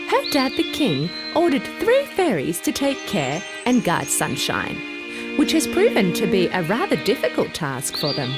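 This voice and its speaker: A woman narrating a children's fairy tale from a script in an expressive, deliberate, dramatic storytelling manner. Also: mid-range voice.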